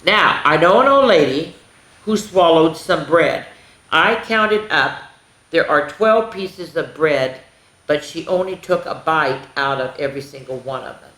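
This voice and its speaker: A woman hosting a children's story time, reading a picture book aloud expressively, with exclamations and asides to the young listeners. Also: sits low. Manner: expressive, exclaiming, pausing to comment and ask the listeners. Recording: echoey room.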